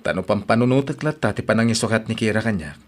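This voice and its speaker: A man's voice: lowish voice, lively intonation, fast, close to an everyday voice. A man playing an eager young businessman. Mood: cheerful. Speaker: younger brother in audio drama. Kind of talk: Ilocano audio drama acting.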